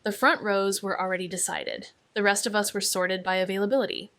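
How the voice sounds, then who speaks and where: A young female sleuth played by an actress narrates a noir mystery podcast. She is wry and watchful, with dry, clipped, deadpan delivery, recorded very close with no room sound. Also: medium pitch, fairly quick, dry recording, lightly acted. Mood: somber, watchful. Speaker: narrator and lead character.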